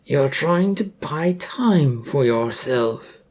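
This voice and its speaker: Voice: mid-range voice, animated, slow, darkish tone. A storyteller voicing an arrogant, snarling male superhero villain who talks with threatening contempt. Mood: scornful.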